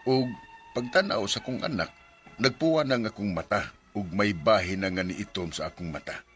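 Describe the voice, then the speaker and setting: An actor playing a stubborn, complaining seventy-year-old farmer in a radio drama, with a Visayan Philippine accent and folksy way of talking. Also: male voice, on the low side, animated, a little fast, a bit bright, faint music behind. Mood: plaintive narration. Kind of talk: radio drama acting with first-person narration.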